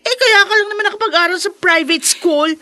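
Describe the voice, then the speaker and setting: A woman's voice: extremely high pitch, brisk, sharp tone. A woman playing a spoiled, haughty schoolgirl in a Filipino radio drama, with a scornful, wheedling manner. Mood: scornful, angry. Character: spoiled, haughty schoolgirl bully, daddy's princess.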